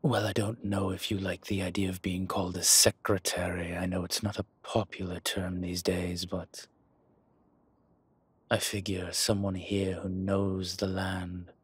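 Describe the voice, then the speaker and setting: A suave dragon mob boss acted by a man schemes in a deep, breathy voice. He speaks slowly and evenly, with deliberate pauses, in a close, dry audio roleplay. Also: flat delivery, no room sound, exaggerated voice. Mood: sly, persuasive. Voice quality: husky, breathy. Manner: measured, smooth-talking, deliberate pauses. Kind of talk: slow, intimate scripted roleplay monologue.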